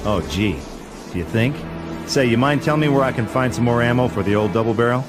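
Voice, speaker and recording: A man's voice: medium pitch, fast, natural voice. A man playing a cynical, wisecracking monster fighter in a video game, sardonic and cocky. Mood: sarcastic. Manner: sarcastic, cocky, deadpan. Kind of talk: sardonic action game dialogue and narration. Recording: music behind the voice.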